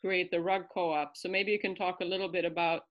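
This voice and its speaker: A woman's voice: fairly low pitch, flat delivery, a little fast, lo-fi sound. A woman acting as interviewer, politely and somewhat hesitantly prompting a guest in an informal moderated talk.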